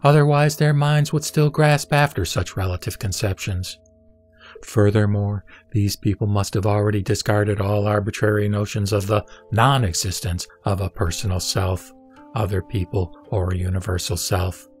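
A man's voice: lowish voice, steady tone, moderate pace, faint music behind, close to an everyday voice. Solemn man acting as a spiritual teacher. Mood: solemn, instructive. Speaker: scripture reader. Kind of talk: scripted audio drama recitation.

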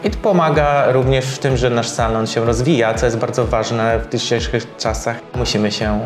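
A man in his thirties, a salon co-owner, speaking in a measured, slightly formal way with small filler pauses in a sponsored interview. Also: mid-range voice, steady tone. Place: bright hair salon, indoors. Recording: roomy salon with soft background music.

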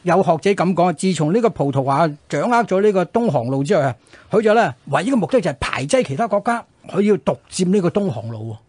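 Fast, husky Hong Kong Cantonese radio host, male. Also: high-pitched, very animated, darkish tone, no room sound. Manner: frequent colloquial sentence-final particles. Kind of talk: conversational history storytelling. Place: radio studio.

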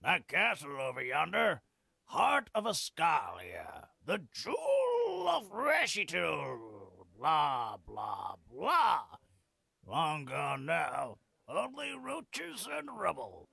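An actor playing a cheeky, scruffy old veteran freeloader in a video game, with a raspy, drawling, theatrical delivery. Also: male voice, on the high side, wildly swooping pitch, takes it slowly, bright, forceful tone, no echo, full character voice. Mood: gloomy storytelling. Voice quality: gravelly, raspy. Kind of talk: video game character acting.

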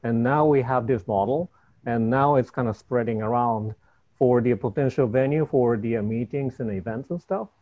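A middle-aged man with a Japanese accent speaks informally on a panel video call, halting as he searches for words. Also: medium pitch. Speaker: architect, panelist. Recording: slightly dull video-call audio.

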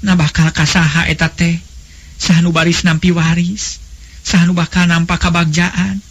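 Demanding young noblewoman, acted by a male storyteller, Sundanese accent, raised husky pitch, jealous and impatient, folk tale. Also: little pitch movement, moderate pace, a bit bright. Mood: impatient, anxious. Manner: eager, demanding.

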